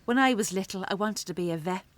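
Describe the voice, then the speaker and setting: Over clear background noise and vehicle ambience comes a feisty, worn-out Irish mammy played by a woman in a radio play. Her voice sits at a middle pitch. She talks bluntly and earthily, with a wry, sharp tongue. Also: fast talker, lightly acted. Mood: wistful. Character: weary, feisty, sharp-tongued working-class Irish mother. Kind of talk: radio drama acting. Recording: clearly noisy, traffic and ambience behind.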